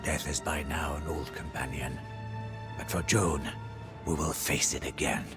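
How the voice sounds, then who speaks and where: Battle-worn medieval soldier, low gravelly voice, breathy, grave storytelling, loyal, game cutscene, music behind. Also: male voice, steady tone. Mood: somber, weary. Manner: grave, theatrical, measured. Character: weary, devoted French soldier-chronicler of a medieval war. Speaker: narrator.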